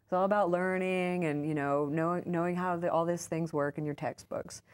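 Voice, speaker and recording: A woman with an American accent and a low-pitched voice answers as an interview guest. She talks informally and chattily, sprinkling in "like" and "you know" as she goes. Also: fairly even intonation, moderate pace, clear, resonant tone. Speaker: interview guest, author and urban farmer. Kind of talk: casual conversational interview answers.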